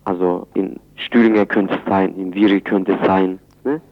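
A man answering in a radio interview, speaking haltingly in phrasing. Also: low voice, steady tone. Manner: halting, non-native phrasing. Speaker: interviewee, chairman of a Kurdish community centre. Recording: dull, lo-fi radio recording.

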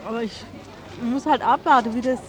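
A woman's voice: on the high side, a little fast, a crowd in the background. A woman giving spontaneous, sceptical and resigned answers as a resident in an interview. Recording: noisy outdoor street recording.

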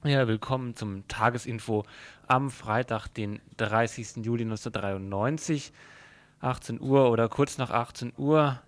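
A man presenting a radio news programme as host, in an informal, conversational and somewhat halting way. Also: mid-range voice.

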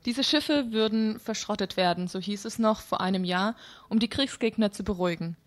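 A woman's voice: medium pitch, moderate pace. A woman presenting a news magazine on the radio, reading out scripted reports.

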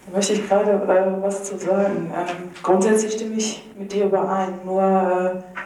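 Woman from germany in a group debate, pretty flat delivery, super echoey and far from mic. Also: mid-range voice, measured pace. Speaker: discussion participant. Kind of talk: earnest spoken argument in a group discussion. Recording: very echoey room, distant microphone.

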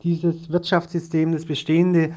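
A man's voice: on the high side, monotone, normal speed, mellow. A man hosting an informal radio interview as presenter.

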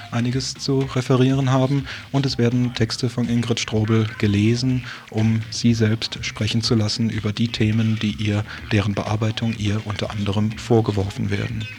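A man presenting on live radio in an informal, halting way, doing interviews and reading out event notices. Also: fairly low pitch, a little mellow, slight background noise. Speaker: radio host. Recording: 1980s local radio broadcast.

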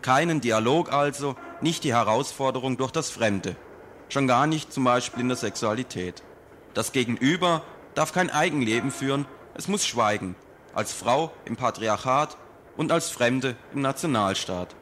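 A man reading a political essay aloud in a slow, deliberate, polemical manner. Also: medium pitch, lively intonation, a bit bright, slight background noise.

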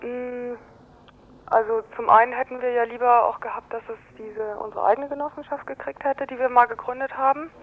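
A woman's voice: on the high side, fairly quick. A woman being interviewed as a resident, answering informally and hesitantly, deliberating as she goes. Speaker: interviewed resident of a housing project. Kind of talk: spontaneous phone interview. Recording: muffled noisy telephone line.

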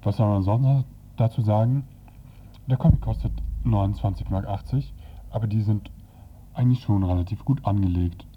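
A man's voice: lowish voice, normal speed, a little hiss or hum. A man reviewing and narrating a comic as a radio presenter. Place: indoors, radio show. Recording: slightly dull, lo-fi radio recording.

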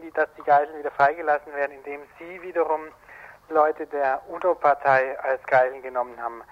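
Higher-voiced male reporter on a dull line. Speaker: radio reporter. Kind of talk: live news preview by link from a branch studio. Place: radio branch office, connected remotely. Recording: dull telephone-like radio link.

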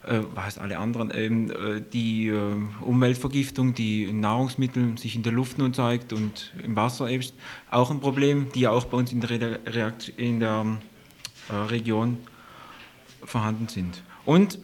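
A man's voice: mid-range voice, level tone, moderate pace, a little mellow. Hesitant man explaining on radio. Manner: hesitant, searching for words. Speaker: radio editorial team member.

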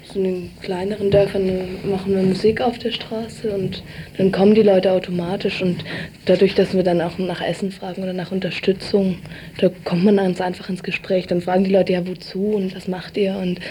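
A woman being interviewed as a peace activist, answering informally with hesitant pauses mid-sentence. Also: mid-range voice, flat delivery, dark tone. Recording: clear background noise, ambient sound.

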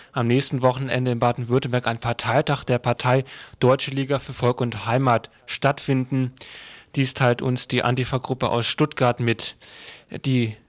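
A man co-hosting a radio news programme, reading short reports in a halting, hesitant way. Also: mid-range voice, no echo.

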